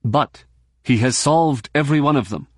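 An earnest microbiologist rushing to defend a colleague, as a male narrator puts them on. Close to the reader's own voice. Dry and close. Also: medium pitch, breathy edge, no echo, voice pushed up, natural voice.

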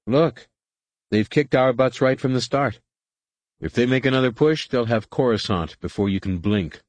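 A man's voice: medium pitch, moderate pace, no room sound, voice pushed up, natural voice. A man voicing a nervous, patronizing older male co-pilot turned traitor in a space adventure audiobook. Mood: uneasy, defeatist.

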